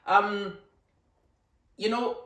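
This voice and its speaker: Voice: mid-range voice, drawn-out words. Vlogger, roomy distant sound. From East Africa. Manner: emphatic, animated, with laughing asides. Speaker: vlogger, channel host. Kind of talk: casual opinion monologue to camera.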